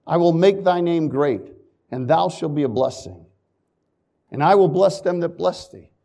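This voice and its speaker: A male preacher delivering a sermon in a fairly high voice. He reads scripture in old-fashioned phrasing, then drops to quiet, folksy asides before building back to loud emphasis. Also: measured pace, gravelly.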